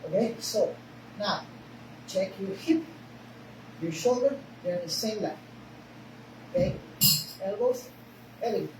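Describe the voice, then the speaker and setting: Slow-speaking Spanish-accented woman teaching karate. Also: mid-range voice, lively intonation. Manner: short broken phrases, often checks in with okay. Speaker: karate instructor. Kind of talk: teaching a class. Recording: echoey room, mic far off, noisy.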